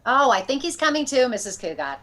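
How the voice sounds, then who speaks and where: A woman playing a blunt, deadpan but loyal housemaid in a 1940s-style radio comedy. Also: sits high, fairly quick, heavily acted. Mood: concerned.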